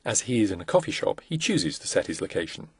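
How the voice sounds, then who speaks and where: A man reading scripted corporate narration for a product demo in a measured, instructional way. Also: fairly low pitch, big pitch swings, breathy edge.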